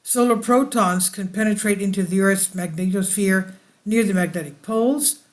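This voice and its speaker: A host reading space weather news aloud in a halting way, stumbling over some words. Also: mid-range voice. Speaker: video channel host.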